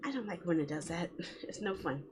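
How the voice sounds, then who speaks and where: A woman's voice: lowish voice, animated, fairly quick, a little hiss or hum. A woman streaming a game, chatting casually and reading story text aloud in a playful way. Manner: giggly, playful, chattering to herself. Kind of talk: casual livestream chat with visual novel read-alouds.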